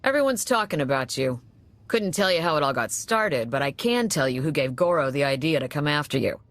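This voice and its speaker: Unfazed female cantina owner for a game: low pitch, wry, nonchalant delivery, no room sound. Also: animated, a little fast, no room sound. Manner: sardonic, nonchalant. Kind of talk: video game character acting.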